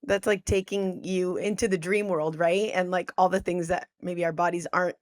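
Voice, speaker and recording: A woman's voice: lowish voice, fairly even intonation, normal speed, no room sound, studio quality. A woman hosting a podcast, giving an enthusiastic introduction and asking upbeat interview questions. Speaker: podcast host.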